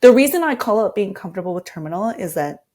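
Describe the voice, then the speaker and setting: A woman's voice: medium pitch, lively intonation, fast talker, darkish tone. A woman speaking as an interview guest, explaining and walking through tips in a conversational way. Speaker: interview guest, design lead.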